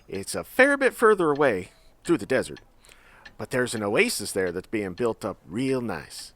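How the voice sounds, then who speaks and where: A jovial uncle of a mine boss from the Old West , acted by a man. His mid-pitched voice swoops in lively folksy showmanship, as in an audio drama. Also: no echo, heavily acted. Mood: welcoming.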